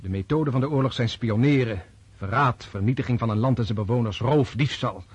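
An actor in a Dutch radio play voicing a disillusioned, brooding military officer, intense and bitter, with halting, reflective pauses. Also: male voice, mid-range voice, husky, no room sound, muffled recording, natural voice. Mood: bitter, impassioned. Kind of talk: radio drama acting.

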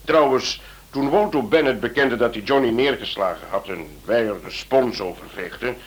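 A man playing a senior police chief in a radio play, speaking in a measured, authoritative way. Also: medium pitch, lightly acted. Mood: grave, cautionary.

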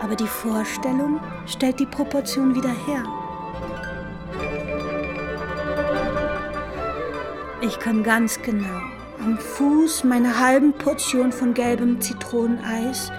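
A woman's voice: on the high side, steady tone, unhurried, lightly acted. An actress playing a playful, sensual young woman who savors florid, eloquent phrases in a literary radio drama. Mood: playful, savoring. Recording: studio radio play with music underneath.

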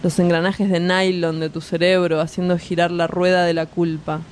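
A lecherous, arrogant macho lover acted by a woman pushes and provokes in a radio play. The voice is on the low side, with faint noise in the background. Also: flat delivery, moderate pace, lightly acted. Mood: lewd, scornful. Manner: provocative, insistent. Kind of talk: radio drama dialogue.

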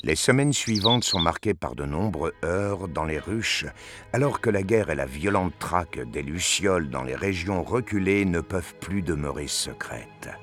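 A man's voice: deep voice, expressive, normal speed. A man narrating an audio drama as a scripted storyteller. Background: music.

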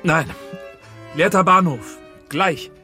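An actor playing a cowed, evasive film director in a German radio play, halting, subdued and submissive. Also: male voice, a little high, pushed and bright, soft background music, heavily acted. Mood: subdued, terse. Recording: long hall reverb.

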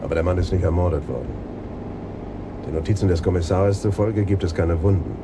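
An actor playing a sardonic, stubborn senior police detective in a radio drama. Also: male voice, low-pitched, fairly quick, background noise, close to an everyday voice. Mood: sardonic, insistent. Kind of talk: 1980s radio drama acting.

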